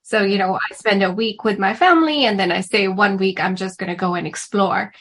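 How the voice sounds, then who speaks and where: A woman answers as a guest on a radio interview, explaining things steadily and in a measured way. She is a travel and food writer from India. Her pitch is in the everyday middle range. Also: a bit bright. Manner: measured and explanatory, with some fillers.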